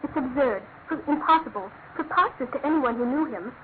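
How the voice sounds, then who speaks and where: A young British woman, a loyal and anguished fiancée in a radio mystery. Her voice is high and refined, with earnest, halting emphasis. The recording is thin and phone-like, lightly noisy. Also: lively intonation. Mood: distraught, indignant. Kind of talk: classic radio drama acting. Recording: noisy, narrow-band old radio recording.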